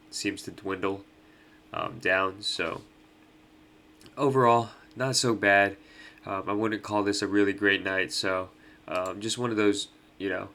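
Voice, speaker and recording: Young adult male host, nasal and stuffed up, low-pitched, loose casual delivery. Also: bright, forceful tone. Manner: laid-back, many ums and uhs. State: nasal from allergies. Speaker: fantasy sports host. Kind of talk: casual picks breakdown.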